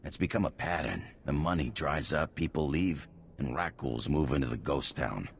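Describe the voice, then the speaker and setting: An actor playing a plain-spoken, weary colony engineer in a video game, with a folksy, wry manner. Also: male voice, a bass voice, moderate pace, vocal fry, slight background noise. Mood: weary, fed up.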